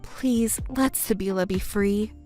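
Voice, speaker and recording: A woman voicing a composed adult stepdaughter in a melodramatic story, speaking in a measured, firm, reproachful manner. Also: medium pitch, warm tone, faint music behind, lightly acted. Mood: stern, reproachful. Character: cool, composed adult stepdaughter confronting her mother. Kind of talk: melodramatic scripted story dialogue.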